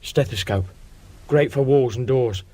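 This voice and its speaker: A man's voice: medium pitch, measured pace, dark tone, natural voice. A man acting in a radio drama as a cautious, matter-of-fact burglar for hire, giving terse, bossy instructions in colloquial speech. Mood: businesslike, calm.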